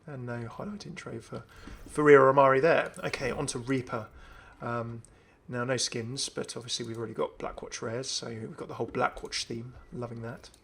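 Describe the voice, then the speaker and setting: A man hosting a gaming video, giving casual, enthusiastic commentary in a creaky voice as he browses game items. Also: mid-range voice, steady tone, moderate pace, few pauses, fairly bright tone. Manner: chatty, enthusiastic. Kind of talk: casual gameplay showcase commentary.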